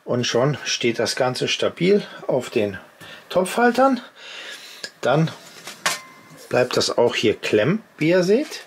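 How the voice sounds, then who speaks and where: A man's voice: mid-range voice, sing-song, slow, pauses often. Middle-aged man explaining gear, faint hiss. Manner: chatty, addresses viewers directly, explains step by step. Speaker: outdoor gear channel host. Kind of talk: casual gear demonstration vlog. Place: indoor home workshop at a workbench.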